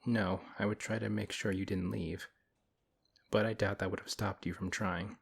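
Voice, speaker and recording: A very low, husky voice for a big, strong paladin, acted by a man in a fantasy audio roleplay. He talks one-to-one in a measured, earnest manner, pausing as he thinks. He comes across as principled and gently protective. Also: flat delivery. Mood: calm, wary. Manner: measured, earnest, hesitant pauses.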